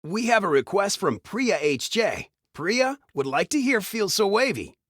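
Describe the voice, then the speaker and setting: An actor playing an upbeat retro radio DJ in a video game, with a smooth announcer's delivery of scripted patter. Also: male voice, high voice, sing-song, measured pace, fairly bright tone, no echo, clean studio sound, exaggerated voice. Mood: upbeat, informative.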